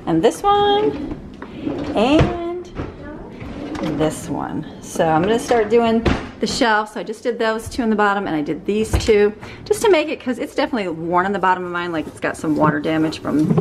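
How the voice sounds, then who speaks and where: A woman's voice: mid-range voice, big pitch swings, a little fast. A woman in her thirties chatting casually to a handheld camera as she works in her home kitchen. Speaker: vlogger. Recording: echoey kitchen with room noise.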